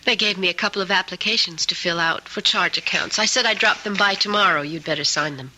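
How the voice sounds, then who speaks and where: A woman's voice: fairly low pitch, fast talker, pushed and bright, a little hiss or hum, rough recording. An actress playing a domineering, manipulative woman houseguest with a commanding, patronizing manner, in old-time radio drama style. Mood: brisk, matter-of-fact. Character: domineering, bossy woman houseguest, coolly manipulative, quick to anger.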